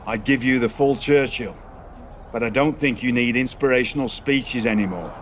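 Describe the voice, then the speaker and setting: A man's voice: medium pitch, normal speed, slight background noise, close to an everyday voice. Man playing a wry wrestling mentor. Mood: wry, proud. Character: seasoned male wrestling mentor, wry, fatherly, proud. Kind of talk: video game cutscene acting.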